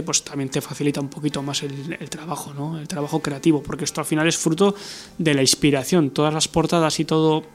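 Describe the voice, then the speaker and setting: A middle-aged musician from Bilbao, answering interview questions thoughtfully, with many fillers and tag questions. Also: male voice, on the high side. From Bilbao, Spain. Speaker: musician guest.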